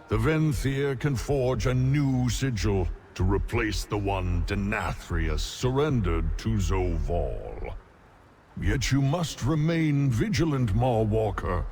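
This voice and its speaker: A man voicing a grave advisor in a fantasy game cinematic, measured and ominous. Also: fairly low pitch, darkish tone, a little hiss or hum. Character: grave male advisor warning the hero.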